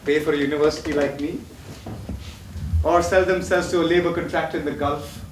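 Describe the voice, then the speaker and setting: A bitter young man, played by an actor, with a high voice and an impassioned, declamatory delivery. Heard from a distance on an echoey stage, with faint music in the background. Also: fairly even intonation, fairly quick, a little hiss or hum, natural voice. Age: young adult. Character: bitter young man estranged from his rich family. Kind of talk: stage play acting. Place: theatre stage.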